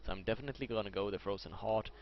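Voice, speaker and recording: A man's voice: fairly low pitch, racing, vocal fry, slight background noise. A man with a Swedish accent commentating casually on his own video game match, thinking aloud and correcting himself as he plays. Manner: thinking aloud, self-correcting, chatty asides. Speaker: gameplay commentator. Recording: lo-fi mic, loud game sound behind. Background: game sound effects and clicks.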